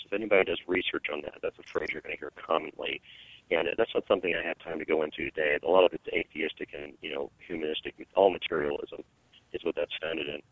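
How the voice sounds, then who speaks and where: Man, very low and hoarse, radio phone-in guest, emphatic with restarts, thin phone line. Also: no room sound. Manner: earnest, emphatic, with frequent restarts. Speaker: radio show guest, evangelist. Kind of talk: call-in radio interview. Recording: narrow phone line, dry.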